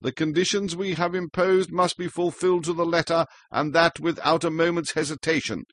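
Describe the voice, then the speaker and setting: A pompous mock monarch from a storybook, acted out by a man. He pronounces his decrees in an imperious, ceremonious way, with the pitch lifted into a formal proclamation. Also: steady tone, a little fast, fairly bright tone, natural voice. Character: pompous mock king decreeing a grim punishment. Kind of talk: theatrical storybook character reading.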